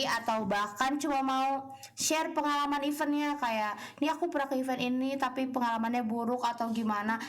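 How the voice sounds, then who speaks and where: A young woman co-hosting a campus radio show, chatting casually and agreeably with quick back-and-forth. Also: on the high side. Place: radio studio.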